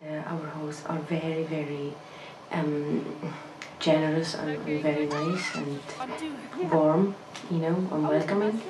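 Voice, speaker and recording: A woman's voice: low voice, fairly even intonation, unhurried, reverberant, background noise. A woman, an exchange student, speaking hesitantly to the camera and searching for words. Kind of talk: video diary to camera.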